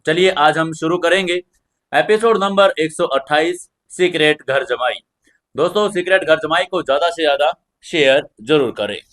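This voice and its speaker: Higher-pitched male story narrator. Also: moderate pace, fairly bright tone. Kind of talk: dramatic audio story narration.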